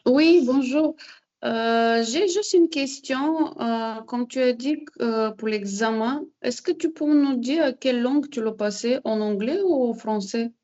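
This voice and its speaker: A woman's voice: fairly high pitch, unhurried, darkish tone, dull audio. A woman from Bulgaria who is not a native French speaker, asking questions in a video call and searching for her words.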